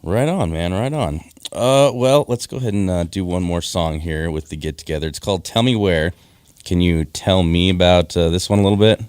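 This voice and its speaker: Male radio host, low-pitched, joking and upbeat, dry close studio sound. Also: moderate pace, darkish tone, clean tone, no echo. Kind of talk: casual radio interview.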